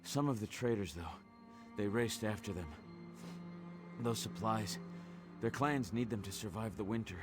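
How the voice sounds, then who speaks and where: A man's voice: lowish voice, a little slow, over music, natural voice. A man playing a worried, earnest caravan guard in a fantasy video game, speaking plainly. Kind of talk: video game dialogue acting.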